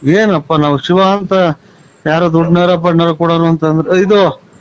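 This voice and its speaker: A man's voice: a little high, steady tone, moderate pace. A man with a rural Tumakuru Kannada accent talking in a bewildered, rambling, protesting way on a lo-fi phone call. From Tumakuru district, Karnataka. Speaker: prank call recipient. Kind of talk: casual phone conversation.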